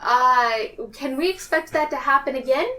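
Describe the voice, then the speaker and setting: High-voiced woman playing a tabletop game. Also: moderate pace, pushed and bright. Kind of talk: casual improvised roleplay chat. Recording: distant mic with faint noise.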